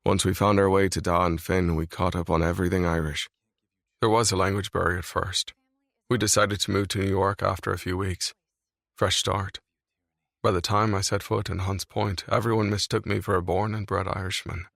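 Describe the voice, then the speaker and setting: A man voicing a brooding, controlled mafia boss in a scripted audiobook reading, low and grave. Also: flat delivery, moderate pace, gravelly, fairly bright tone, no room sound, studio quality. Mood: grim. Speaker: male lead voice in audiobook.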